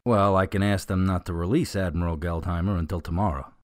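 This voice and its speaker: A man's voice: deep voice, steady tone, a little fast, no echo, clean studio sound, natural voice. A man playing an easygoing, plain-spoken and courteous police officer in a radio drama. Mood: friendly, helpful. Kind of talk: scripted radio drama acting.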